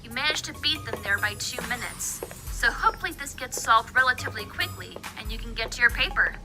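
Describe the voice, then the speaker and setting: A woman playing a brisk, matter-of-fact young hacker sidekick in an audio drama, prone to nagging. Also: on the high side, over music, natural voice. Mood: stern, concerned.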